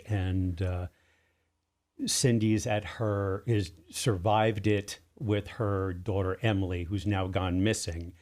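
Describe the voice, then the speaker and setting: A man with a fairly low voice talks as a guest in a casual interview. He explains in a halting way, building his point in small pieces. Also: darkish tone. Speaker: interview guest, drama writer and producer.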